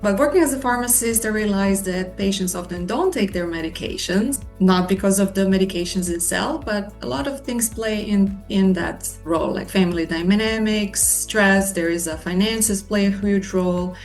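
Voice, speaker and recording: A woman's voice: mid-range voice, normal speed, few pauses, a little mellow. A woman in her thirties from Croatia with a Croatian accent, an assistant professor earnestly explaining her research in a Q&A, with faint music behind. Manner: earnest, explanatory, lists points. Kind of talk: scripted Q&A monologue.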